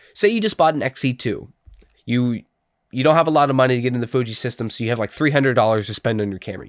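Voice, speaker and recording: A man's voice: medium pitch, dry recording, studio quality. A young man with a stuffy, congested nose giving a casual spoken gear review, rambling with lots of ums and restarts.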